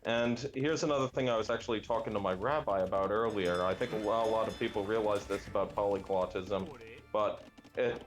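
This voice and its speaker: A young adult man chatting casually while playing a game, musing aloud and often pausing with fillers and restarts. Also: on the low side, faint music behind. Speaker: language gaming guest. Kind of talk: casual chat while gaming.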